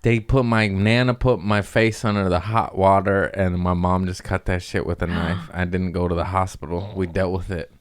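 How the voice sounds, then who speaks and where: A man with a deep American voice, riffing on a comedy podcast. He rambles in loose slang and jokes his way through each thought, casual and unpolished. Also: little pitch movement, moderate pace, hardly any pauses, smooth, dry recording.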